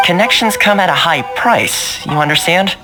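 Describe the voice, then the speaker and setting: Suave, lively young fixer. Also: male voice, high voice, normal speed. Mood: confiding. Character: suave, easygoing young male fixer with local connections. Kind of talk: video game dialogue acting. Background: game music.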